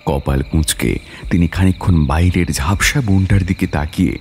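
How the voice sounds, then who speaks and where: A man's voice: low voice, moderate pace, a little mellow, a little hiss or hum. A man reading a scripted story as its narrator, with dramatic delivery.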